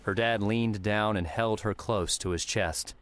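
A man's voice: on the low side, fairly even intonation, measured pace, fairly bright tone. A man narrating scripted third-person fiction as an audiobook narrator. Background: music.

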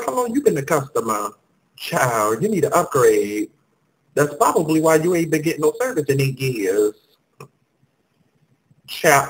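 A man's voice: on the high side, fairly bright tone, low-quality recording. A man voicing a sassy, blunt female phone company customer service rep who scolds callers in heavy slang. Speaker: comedian. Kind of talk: sketch comedy monologue.

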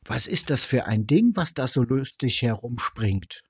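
A man's voice: medium pitch, animated, unhurried, a little mellow, breathy edge, no echo, clean studio sound. A man voicing a curious, naive young storybook princess who asks questions.

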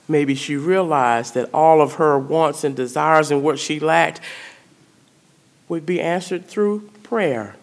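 A middle-aged woman priest, preaching a sermon in a church sanctuary, speaking conversationally and putting questions to the congregation. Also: low-pitched. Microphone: stand mic in front of pulpit.

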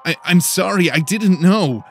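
A man's voice: high-pitched, unhurried, soft background music, voice pushed up. A man voicing a flustered, bewildered and easily indignant young man in an audiobook. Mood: panicked. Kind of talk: dramatic audiobook character voice.

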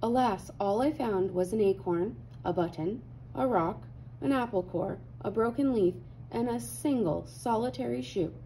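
A woman reading a children's picture book aloud with animated, dramatic emphasis. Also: fairly high pitch, normal speed, a little hiss or hum, low-quality recording. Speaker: read-aloud host.

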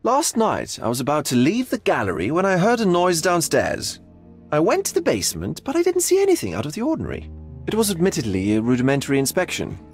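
A man playing a sly, hedonistic, eccentric art gallery owner in a video game, with drawn-out theatrical philosophizing. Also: a little high, a little mellow. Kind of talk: video game cutscene acting.

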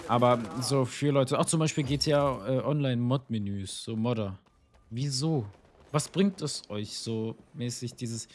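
A young man giving casual, rambling commentary while playing a shooter, with a slightly hoarse voice from a cold, swearing often and filling gaps with ums. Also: medium pitch, noisy background. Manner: chatty, swears often, rambling with many fillers. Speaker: gaming YouTuber. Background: game music and gunfire.

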